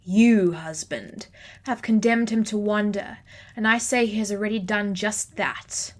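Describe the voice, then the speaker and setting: A woman's voice: mid-range voice, a little slow, close to an everyday voice. A woman playing a wrathful, regal goddess who declaims imperiously in an audio drama. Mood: righteous anger. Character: wrathful regal female deity, Queen of Vengeance.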